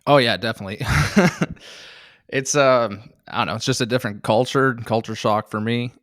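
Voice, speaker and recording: A man's voice: medium pitch, moderate pace, fairly bright tone, no room sound, clean studio sound. A young adult man from Canada with a Canadian accent, chatting casually as a comedian guest on a podcast, joking and laughing often between filler words. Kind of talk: casual chat.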